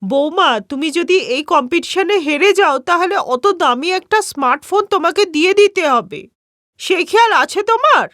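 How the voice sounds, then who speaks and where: A woman's voice: extremely high pitch, expressive, normal speed, a bit bright, no room sound, clean studio sound. A woman voicing a scolding, proud older mother-in-law in a cartoon story, stern and challenging.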